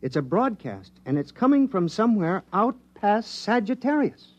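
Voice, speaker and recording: Earnest male researcher, 1950s radio drama. Precise, absorbed, slightly hesitant, highish voice with lively pitch. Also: moderate pace, lo-fi sound. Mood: absorbed, eager. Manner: technical, precise, mildly hesitant explaining. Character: earnest, absorbed male government electronics researcher, matter-of-fact. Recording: vintage 1950s radio recording.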